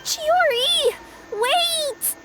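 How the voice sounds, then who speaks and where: A woman's voice: extremely high pitch, very slow, heavy background noise, exaggerated voice. A woman voicing a young, earnest, polite cat-girl courier with a girlish, slightly hesitant delivery in a video game. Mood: alarmed. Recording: music behind the voice.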